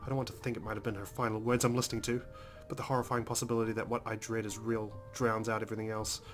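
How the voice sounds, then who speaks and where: A man's voice: fairly low pitch, little pitch movement, rapid-fire. A man hosting a let's play, reading visual novel narration aloud dramatically with casual, emotionally involved comments. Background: game music.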